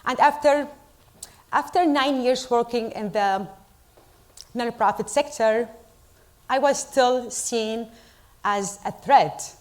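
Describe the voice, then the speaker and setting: A woman's voice: on the high side, darkish tone. Earnest Iraqi-born woman giving a speech. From Iraq. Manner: earnest, deliberate, with pauses for emphasis. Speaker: storyteller at a community event. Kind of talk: speech to a live audience.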